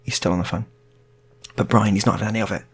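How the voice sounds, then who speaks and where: A man's voice: lowish voice, steady tone, very fast, vocal fry, husky. A man telling an improvised story in a casual, rambling way, often repeating his phrases.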